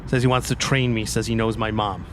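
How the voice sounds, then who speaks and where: A man's voice: on the low side, fairly even intonation, a little fast, natural voice. A man acts out a sullen young man with a grudge in a tabletop game. He talks bluntly and swears freely, over slight background noise. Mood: bitter, brooding. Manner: gruff, blunt, profane.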